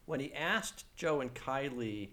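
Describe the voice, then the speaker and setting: A man's voice: mid-range voice, expressive, measured pace, bright, forceful tone. A man co-hosting a podcast in casual conversation, explaining his take and often checking in for agreement. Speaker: podcast co-host.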